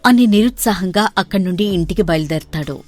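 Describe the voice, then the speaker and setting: A woman narrating a moral story in a steady storytelling manner. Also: medium pitch, a little fast.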